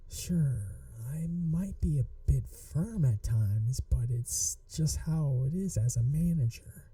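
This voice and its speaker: A woman acts out a flirty, adorkable female manager in an ASMR roleplay. Her voice is very soft and dark, teasing and fond, with unhurried phrasing that rises and dips expressively. The sound is dry and intimate. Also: a bass voice, dry recording, recorded from a distance, heavily acted. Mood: teasing, amused. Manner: soft, coaxing, playfully teasing, slipping into whispers. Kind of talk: ASMR roleplay, acted monologue to listener.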